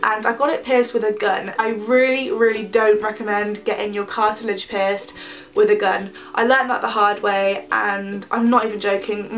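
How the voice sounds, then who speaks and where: A woman's voice: medium pitch, fairly bright tone, far from the mic. A young woman with a stuffy, nasal voice from a cold, chatting casually to camera as a vlogger, rambling with lots of ums. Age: young adult.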